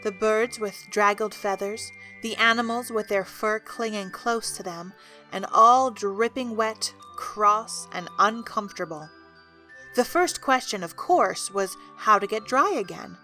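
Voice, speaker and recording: Bright-voiced woman narrating a storybook. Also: mid-range voice, measured pace, faint music behind.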